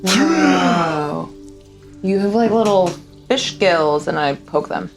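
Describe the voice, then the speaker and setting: A woman's voice: lowish voice, big pitch swings, slow pace. A woman playing a tabletop game, chatting casually in and out of the story. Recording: soft music and rain behind voice.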